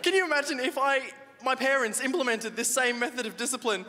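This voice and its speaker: A man's voice: very high-pitched, expressive, quick pace. A young man from Egypt doing a stand-up comedy set on a large theatre stage, playful and self-mocking, on a handheld mic. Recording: live hall with crowd noise.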